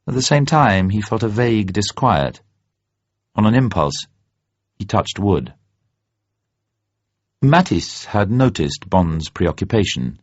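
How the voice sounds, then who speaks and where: Lively, low-voiced male narrator. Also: breathy edge. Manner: measured, literary, expressive. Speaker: narrator, audiobook reader. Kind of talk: dramatic scripted novel narration. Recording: dull, roomy recording.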